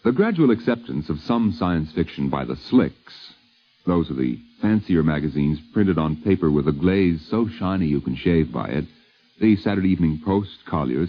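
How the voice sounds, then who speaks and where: A man's voice: deep voice, very animated, normal speed. An American man narrating a scripted 1950s radio documentary as host, with a wry, literate delivery on an old radio recording. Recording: dull lo-fi vintage radio recording.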